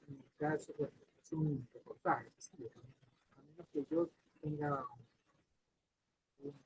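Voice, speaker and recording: A man teaching a class in a loose, chatty lecture, his voice leaping between high and low. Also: vocal fry, darkish tone, breathy edge, poor audio.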